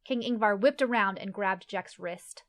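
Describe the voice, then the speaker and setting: A woman's voice: mid-range voice, normal speed. A woman narrates a scripted fantasy tale. Her voice sits in a middle range. She reads as the storyteller of an audio play.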